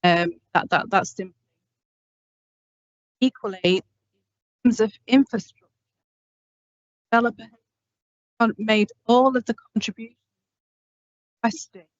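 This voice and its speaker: A woman planning officer with a loud voice, explaining procedure to a committee in a measured way. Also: on the high side, no room sound. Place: council chamber. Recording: dull dry meeting audio.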